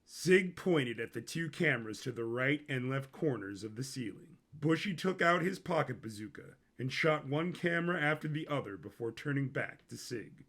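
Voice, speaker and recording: Bright, creaky-voiced male story narrator. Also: medium pitch. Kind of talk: scripted dramatic story narration.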